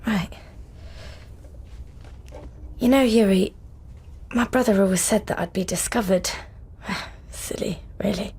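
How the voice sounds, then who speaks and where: A meek young daughter in a radio play, very husky and breathy with a crackly edge. She speaks slowly and hesitantly, eager to please. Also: mid-range voice, a little mellow, exaggerated voice. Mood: shy, eager. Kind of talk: radio drama acting.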